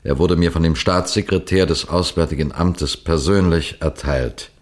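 An actor playing a seasoned, courteous detective superintendent who tells his case deliberately and instructively in the style of a 1960s German radio play. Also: a bass voice. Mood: storytelling, suspenseful. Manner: deliberate, didactic, addressing listeners formally.

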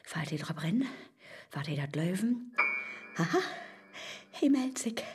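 An actress playing a sly, bold woman scheming in a comic rhyming radio play, speaking northern Low German with a husky voice and slipping into conspiratorial whispers. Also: sing-song, measured pace, heavy background noise. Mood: gleeful.

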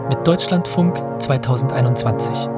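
An announcer reading scripted radio play credits in measured, separate short phrases. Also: medium pitch. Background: prominent music.

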